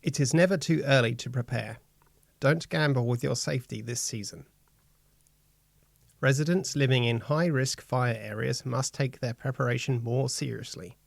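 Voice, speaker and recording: A man with an Australian accent reads out a prepared safety statement. His mid-range voice has a lot of fry and gravel, and it is recorded dry with no audible room. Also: medium pitch, dry recording. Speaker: reader of quoted statements. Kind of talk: scripted reading of a public safety statement.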